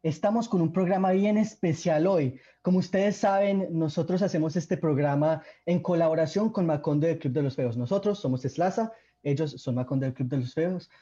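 A man's voice: on the high side, moderate pace, hardly any pauses, warm tone, dull audio. Upbeat young man hosting radio. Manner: upbeat, chatty, with fillers. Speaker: radio co-host. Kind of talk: casual radio talk.

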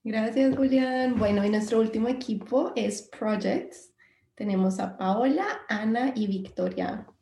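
A young woman from Colombia with a Bogotá accent, introducing her student club's team on a radio show in a friendly, brisk way. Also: on the high side, creaky, warm tone, roomy sound. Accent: Bogotá Colombian Spanish. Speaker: student club member presenting the team. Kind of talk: casual radio chat.

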